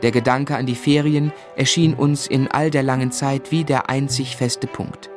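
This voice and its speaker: An actor playing a grown man reflectively recalling his boyhood, in measured, literary narration for a German radio drama. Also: mid-range voice, level tone, music in the background, lightly acted. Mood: wistful.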